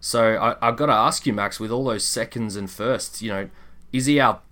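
A man's voice: fairly low pitch, moderate pace, pushed and bright. A man co-hosting a casual sports podcast in a conversational way, thinking aloud as he goes. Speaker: podcast co-host. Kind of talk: casual basketball podcast chat.

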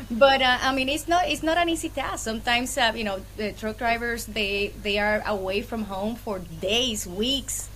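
A woman's voice: mid-range voice, fairly bright tone, a little hiss or hum, rough recording. A woman from Puerto Rico with a Puerto Rican accent, chatting casually as a guest in an interview. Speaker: podcast guest, freight brokerage owner.

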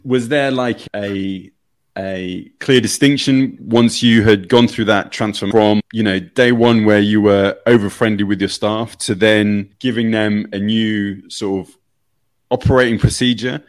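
A man's voice: on the low side, steady tone, unhurried. A man hosting a podcast interview, asking long questions that he keeps rephrasing and correcting. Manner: rambling, self-correcting questions. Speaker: podcast host. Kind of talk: conversational interview.

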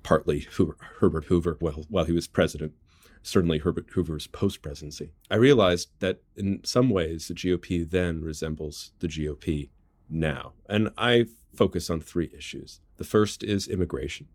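An American man explaining as an interview guest, measured and methodical, setting out his points in order. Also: deep voice, darkish tone, dry recording. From United States. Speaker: interview guest, journalist and author.

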